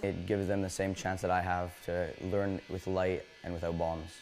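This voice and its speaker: A man's voice: low voice, flat delivery, moderate pace, darkish tone, soft background music. A sixteen-year-old young man giving casual interview answers. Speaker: part-time barber, interviewee.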